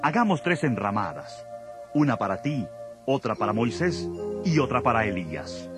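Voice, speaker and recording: A man's voice: medium pitch, big pitch swings, moderate pace, close to an everyday voice. A man playing an earnest, reverent disciple of Jesus in a dramatized audio Bible. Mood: reverent, eager. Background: prominent music.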